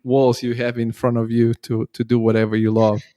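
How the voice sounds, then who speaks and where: A young man speaks in an even, mellow voice with little rise and fall. He chats easily as the host, and the sound is dry and close. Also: medium pitch, normal speed, dry recording, lo-fi sound. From Bulgaria, raised in Italy. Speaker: podcast host. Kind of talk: podcast interview.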